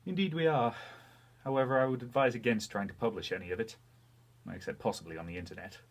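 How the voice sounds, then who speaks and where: Man acting sentient soul companion, husky, formal, dryly teasing, knowing, audio drama. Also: mid-range voice, quick pace, natural voice. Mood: cautioning.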